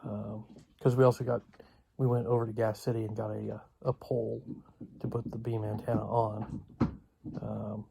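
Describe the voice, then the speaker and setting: A man giving a casual, unscripted vlog update in a halting, rambling way with long pauses. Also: lowish voice, level tone, creaky, mellow, breathy edge. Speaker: video blogger.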